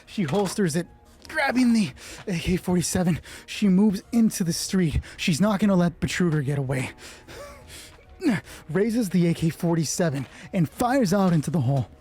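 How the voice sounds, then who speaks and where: Male solo game master, husky and slightly high-pitched, casual sweary narration of dice rolls and action, music underneath. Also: lively intonation, moderate pace, dark tone. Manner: casual, swearing freely, thinking aloud. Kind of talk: narrating solo tabletop roleplay, reading out dice results.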